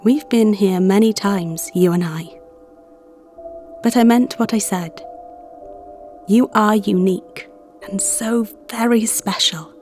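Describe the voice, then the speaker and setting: A woman playing a gentle, protective therapist in an ASMR audio story, earnest and deliberate, with music behind her. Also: mid-range voice, natural voice. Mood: tender, reassuring. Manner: soothing, earnest, deliberate with pauses. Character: gentle, protective female visualization therapist guarding imagined minds. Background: background music.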